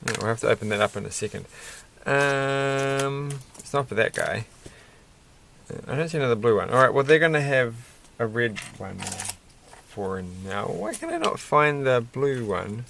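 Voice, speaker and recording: A man rambling softly to himself while building a toy kit at his desk at night, self-deprecating and a bit tired. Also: medium pitch, lively intonation, normal speed, gravelly, a little hiss or hum. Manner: soft-spoken, muttering to himself, self-deprecating. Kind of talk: casual rambling build commentary.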